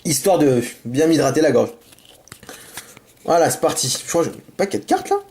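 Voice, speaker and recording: A man with a sore throat from a cold talks his way through opening card packs. He rambles and apologizes, then gets excited about the cards, in a casual, home-made commentary. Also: fairly high pitch, moderate pace. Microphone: camera mic.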